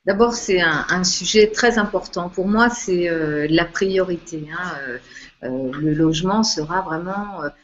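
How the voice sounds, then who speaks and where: A woman's voice: on the low side, unhurried, slight background noise. A woman who is a political candidate, speaking hesitantly with many fillers in a live video chat. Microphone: video call. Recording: dull lo-fi video call audio.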